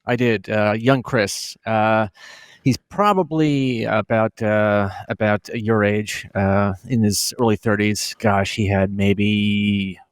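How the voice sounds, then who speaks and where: A man in his forties calling in to a podcast, speaking calmly with many pauses and fillers. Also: on the low side, clear, resonant tone, no room sound, clean studio sound. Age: 40s. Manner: calm, hesitant, lots of fillers. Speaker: guest fighter on a call. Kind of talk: casual chat. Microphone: remote call.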